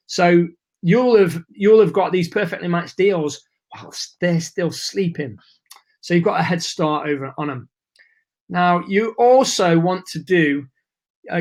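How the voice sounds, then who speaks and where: Male webinar host, casual and chatty, voice going hoarse, slightly high-pitched. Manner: chatty, informal, reads and answers chat messages. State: losing his voice. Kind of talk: live webinar presentation.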